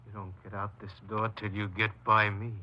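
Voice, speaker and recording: An actor playing a bitter, paranoid killer giving a halting confession in a 1950s radio crime drama. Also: male voice, lowish voice, level tone, lightly acted. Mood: bitter menace. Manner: halting, brooding, resigned confessional delivery. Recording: dull, noisy 1950s radio recording.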